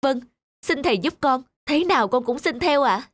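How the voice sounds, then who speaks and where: An actress voicing a worried young peasant mother in an animated folk tale, meek, trusting and politely deferential. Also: sits high, moderate pace. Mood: anxious.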